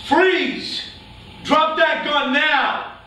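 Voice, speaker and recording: A man's voice: very high-pitched, fairly even intonation, very slow, sharp tone, exaggerated voice. A man playing a commanding, official police special agent in a staged play reading. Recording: very echoey room, distant mic, faint noise.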